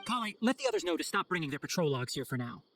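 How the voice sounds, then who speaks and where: A man's voice: a little high, very animated, very fast, fairly bright tone. An actor playing a clever, exacting young male chief forest ranger in a video game, articulate and instructive with dry sarcasm. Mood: decisive. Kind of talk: video game cutscene acting. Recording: faint music behind the voice.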